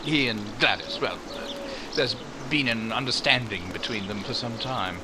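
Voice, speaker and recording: Man acting proud gentleman, mid-pitched, formal, awkward hesitations, 1940s radio drama style, noisy backdrop. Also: moderate pace, lightly acted. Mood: stiff, reserved.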